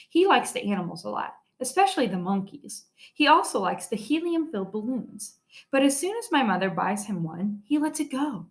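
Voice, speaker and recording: A woman teacher reading a children's story aloud expressively, stressing key words. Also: mid-range voice.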